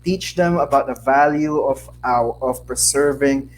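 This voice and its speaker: A man with a Filipino accent, a scholar speaking in a measured, thoughtful way with fillers in a casual conversation. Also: medium pitch, a little hiss or hum, rough recording. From Philippines. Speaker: scholar and co-author. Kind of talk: casual interview chat.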